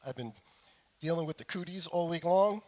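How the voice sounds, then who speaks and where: Middle aged guy preaching at church, voice kinda high and hoarse like he's getting over a cold, repeats stuff for emphasis. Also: darkish tone. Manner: frequent throat clearing; deliberate, repeats phrases for emphasis. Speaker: pastor preaching to his congregation. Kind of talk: sermon with scripture reading.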